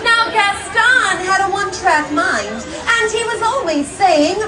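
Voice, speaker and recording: A woman's voice: very high voice, animated, unhurried, noisy background. A young woman playing a sweet, gracious storybook heroine, telling a tale to children in a theatrical, gushing, encouraging way at a live show. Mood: playful, encouraging. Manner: theatrical, gushing, encouraging, sing-song questioning. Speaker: theme park character storyteller. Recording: distant echoey live show, loud music and crowd. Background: loud music and audience of children.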